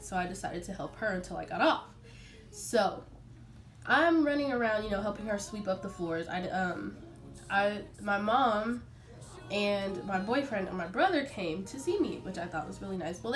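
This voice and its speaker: A young adult American woman telling a personal story casually to her viewers in an informal, animated way. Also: medium pitch. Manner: chatty, informal, animated retelling with acted-out quotes. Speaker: vlogger.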